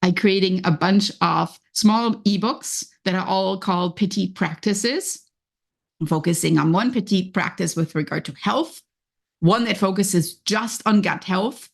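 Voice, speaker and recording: A woman's voice: fairly low pitch, measured pace, creaky. A woman with a German accent hosting a solo motivational podcast, talking conversationally and encouragingly, often voicing inner thoughts. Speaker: podcast host and coach.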